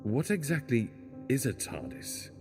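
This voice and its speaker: A man playing a scruffy, sharp-witted castaway from a crashed spaceship in a fan sci-fi audio drama, guarded and probing in manner. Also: mid-range voice, big pitch swings, unhurried, soft background music. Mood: baffled, curious. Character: scruffy stranded male alien spaceship crewman, wary, sharp-witted.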